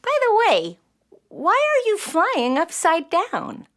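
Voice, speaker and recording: A woman's voice: extremely high pitch, takes it slowly, full character voice. A woman voicing an eager, brainy, curious nine-year-old girl in a children's audio play. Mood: curious, earnest. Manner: excitable, stammering when startled.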